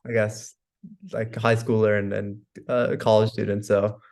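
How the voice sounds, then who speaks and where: A man's voice: fairly low pitch, flat delivery, a little slow, darkish tone. A young man hosting a podcast interview, asking questions hesitantly with many fillers. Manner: hesitant, full of fillers like "like" and "I guess". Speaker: podcast host. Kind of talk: casual interview.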